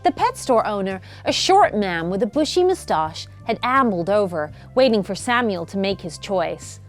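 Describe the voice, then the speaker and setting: A woman reading a children's bedtime story as an expressive narrator. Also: mid-range voice, moderate pace. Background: music.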